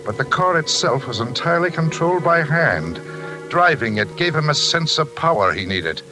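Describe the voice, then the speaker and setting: A man's voice: medium pitch, close to an everyday voice, rough recording. An actor with an American accent playing a troubled, intense psychiatric patient confessing his past in a 1940s radio drama. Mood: bitter, dreading. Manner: confessional, melodramatic.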